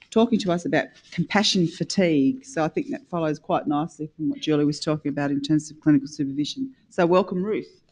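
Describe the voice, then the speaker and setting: A woman introduces the final speaker at a conference, speaking into a microphone in a conference room. She talks in an unhurried way, with small hesitations as she reads out the speaker's background. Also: deep voice, a little mellow, poor audio. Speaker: symposium host introducing speakers.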